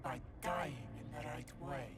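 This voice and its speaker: An actor voicing a menacing, gloating envy demon in a video game, taunting slowly and deliberately. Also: mid-range voice, natural voice. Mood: menacing, mocking. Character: menacing, gloating envy demon mimicking other people's voices. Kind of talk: video game villain acting.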